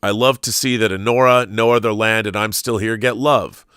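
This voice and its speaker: An American man hosting a live show, talking conversationally with halting repeats and emphatic stresses as he reads aloud and riffs. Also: lowish voice, fairly even intonation, bright, forceful tone, clean tone, dry recording, clean studio sound. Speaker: show host reading viewer questions live. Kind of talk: conversational live-stream commentary, reading aloud and riffing.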